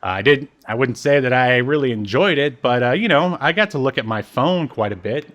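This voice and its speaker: A man with an average-pitched voice co-hosts a casual podcast. He rambles in a conversational, joking way and happily makes fun of himself. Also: lively intonation, fairly quick, a bit bright, lo-fi sound. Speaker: podcast co-host.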